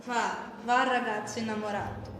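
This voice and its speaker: A Brazilian woman playing a calm, sly fortune-teller who reassures her client, in an amateur school radio drama. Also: fairly high pitch, moderate pace, slight background noise, natural voice. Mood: soothing, pleased. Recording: echoey hall, long reverb, music bed. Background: music.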